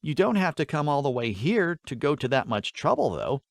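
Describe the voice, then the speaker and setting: A man's voice: on the high side, sing-song, normal speed, gravelly, no room sound, studio quality. A man voicing an earnest, modest teenage boy in a fantasy audiobook, polite and a little awkward. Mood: shy, grateful. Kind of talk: fantasy audiobook character dialogue.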